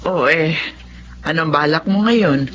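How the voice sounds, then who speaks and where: A woman's voice: deep voice, unhurried, a bit bright. Slightly muffled, with faint noise, comes an older mother in a Filipino radio drama. She sounds caring and wise, advising in a motherly way. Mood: pleased. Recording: dull audio with faint background noise.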